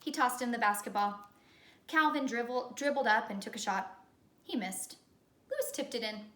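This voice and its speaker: A woman reading a children's story aloud in an expressive, teacherly storytelling way. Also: fairly high pitch, normal speed. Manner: expressive storytelling with character dialogue. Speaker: elementary school teacher. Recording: echoey room.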